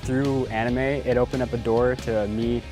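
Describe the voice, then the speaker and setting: A man's voice: medium pitch, steady tone, moderate pace. A young male student speaking eagerly and chattily in an interview. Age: young adult. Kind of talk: casual interview. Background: guitar music.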